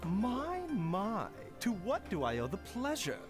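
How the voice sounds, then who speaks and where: A man's voice: high voice, wildly swooping pitch, slow pace, over music, exaggerated voice. A man playing a courteous, knowing pharmacist doctor in a video game, with genteel, wordy, teasing politeness. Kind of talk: scripted game dialogue.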